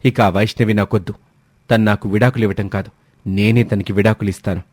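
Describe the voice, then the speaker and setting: A man's voice: on the low side, fairly even intonation, fast, darkish tone, no echo, voice pushed down, natural voice. A man voicing an angry, wounded young husband in a story, speaking with emphatic indignation. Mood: angry, hurt.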